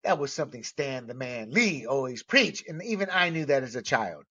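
A middle-aged American man giving opinionated solo commentary, emphatic and halting, stretching out key words. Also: medium pitch, sing-song, sharp tone, breathy edge. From United States. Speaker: movie commentator. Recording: dull, lo-fi sound.

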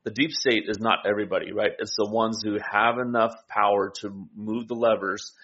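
A man's voice: on the low side, fairly even intonation, moderate pace, fairly bright tone, slightly rough, muffled recording. A man co-hosting a casual political discussion, explaining points and voicing imagined quotes as he goes. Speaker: political talk show co-host.

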